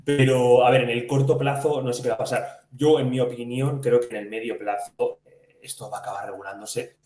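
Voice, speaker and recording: A man from chats as a financial podcast co-host. His voice sits at a medium pitch, and he explains by asking questions and answering them himself. The sound has a strong room echo. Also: fairly even intonation, a little fast, a little mellow. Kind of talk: conversational financial news discussion. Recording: echoey room.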